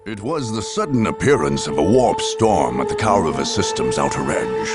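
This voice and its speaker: Male game narrator: low, grave and theatrical, with dramatic swings in pitch, telling of galactic war. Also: sing-song. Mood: grave, ominous. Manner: measured, portentous, theatrical delivery. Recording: loud music under the voice. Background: loud music.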